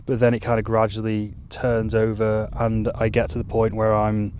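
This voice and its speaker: Monotone young man outdoors. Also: fairly low pitch, normal speed, dull audio, slight background noise. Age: 20s. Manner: monotone, self-deprecating, rambling. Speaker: YouTuber. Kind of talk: casual vlog talk to camera. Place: outdoors on a hilltop. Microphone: mic with furry windscreen.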